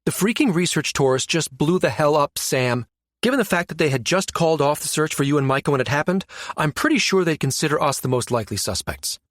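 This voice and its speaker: A man voicing a brisk, sardonic, exasperated woman space operative with informal, slangy speech in a science fiction audiobook. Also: a little high, expressive, fairly bright tone, dry recording, clean studio sound, pitched above the performer's own voice.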